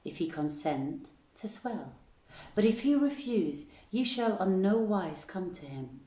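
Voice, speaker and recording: A woman's voice: medium pitch, sing-song, unhurried, mellow. A woman reading the part of a bold young princess in a storybook, with formal, imperious archaic phrasing. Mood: proud, commanding. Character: bold, commanding young princess addressing knights. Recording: echoey room sound.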